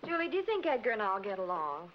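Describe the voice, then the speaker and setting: An actress playing a gentle, consoling young woman, soothing and polite, in 1940s Hollywood style. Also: mid-range voice, sing-song, fast talker, noisy background, lightly acted. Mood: earnest. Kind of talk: 1940s Hollywood film acting. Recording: dull 1940s film soundtrack with music.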